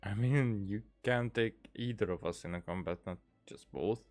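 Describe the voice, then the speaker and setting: A man's voice: on the low side, normal speed, a little mellow, no room sound, faint music behind. A man giving casual game commentary as a streamer.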